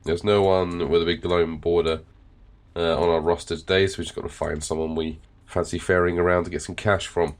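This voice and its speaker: A man's voice: sits low, level tone, brisk. A man hosting a casual let's play, sounding nasal and congested as he rambles with fillers and the odd swear word. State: nasal and congested, recovering from illness. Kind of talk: casual gameplay commentary and reading game text.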